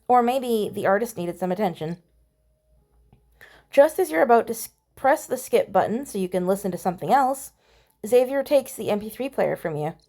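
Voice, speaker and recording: A woman's voice: medium pitch, animated, normal speed, warm tone. A woman giving casual game commentary, chatty and playful, reading on-screen text aloud. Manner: chatty, mild playful exclamations. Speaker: gamer voicing a playthrough.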